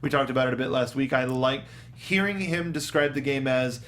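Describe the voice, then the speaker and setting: A man talking casually as a show panelist, telling things in an animated way and acting out quotes. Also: mid-range voice, a little fast. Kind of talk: casual film and game discussion.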